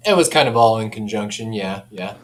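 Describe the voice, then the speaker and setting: A man's voice: lowish voice, animated, brisk. A man speaking as a company guest in a casual product chat, informal and easygoing. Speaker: audio company representative, guest.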